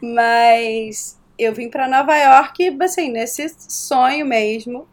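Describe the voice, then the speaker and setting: A woman from Rio de Janeiro with a Carioca accent, a guest chatting casually in an interview, animated and quick to laugh. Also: fairly high pitch. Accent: Carioca Portuguese. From Rio de Janeiro, Brazil. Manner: animated storyteller, laughs often.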